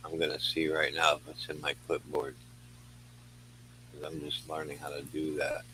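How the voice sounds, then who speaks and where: A man's voice: extremely low pitch, completely flat delivery, moderate pace. A man talking casually as a panel guest on an online group call, with a faint hum on the line. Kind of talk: casual livestream chat.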